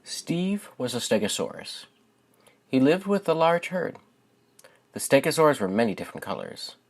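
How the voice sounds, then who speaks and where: A man's voice: medium pitch, sing-song, moderate pace. A young man who hosts a channel and reads his own writing aloud for viewers. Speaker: channel host and children's book author. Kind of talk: casual spoken introduction to viewers.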